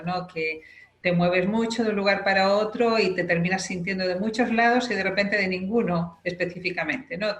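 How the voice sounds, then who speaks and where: Middle-aged woman, kinda low voice, explains things smooth and thoughtful, echoey room. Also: fairly bright tone. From Spain. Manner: fluent, explanatory, reflective. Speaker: political scientist guest. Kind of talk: radio interview.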